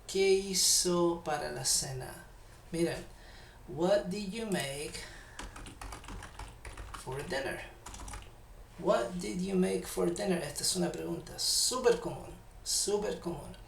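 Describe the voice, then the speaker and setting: A man with a Chilean Spanish accent teaching English grammar in a live online class, patiently repeating English example sentences slowly. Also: sits high, creaky, echoey room, distant mic, a little hiss or hum.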